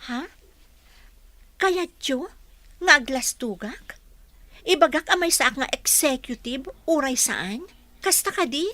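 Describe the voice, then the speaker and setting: An actress playing a spirited, defiant young housekeeper in a Filipino radio drama, with a Filipino accent. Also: female voice, high-pitched, lively intonation, takes it slowly, pauses often, sharp tone, dry recording, heavily acted. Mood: indignant. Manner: feisty, talks back.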